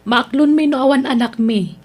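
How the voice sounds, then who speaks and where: A gentle, coaxing middle-aged wife played by a woman in an Ilocano audio drama. Her voice sits a little high, and she speaks warmly to her husband with an Ilocano accent. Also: moderate pace, slightly rough.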